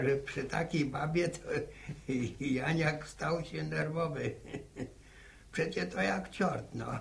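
A man's voice: on the high side, vocal fry. An actor playing a crotchety, teasing old village grandfather in a 1960 radio serial, stammering in folksy rural speech. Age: older. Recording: hissy old radio drama recording.

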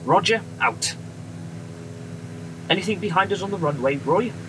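An actor playing a friendly, assured wartime bomber pilot with a British accent, brisk and reassuring, in a radio drama. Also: male voice, sits high, lively intonation. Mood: brisk, businesslike. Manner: brisk, reassuring, polite officer. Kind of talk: period radio drama acting. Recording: noisy aircraft engine background.

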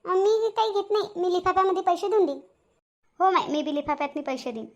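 A woman's voice: extremely high pitch, fast, lo-fi sound. A woman with a Varhadi accent from Vidarbha, acting out chatty village women's dialogue for a cartoon story in colloquial, exclamatory speech.

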